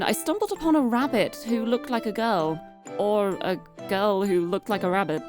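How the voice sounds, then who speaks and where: A woman from the UK with a British accent, live streaming a game, chatting and reading aloud. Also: on the high side. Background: music.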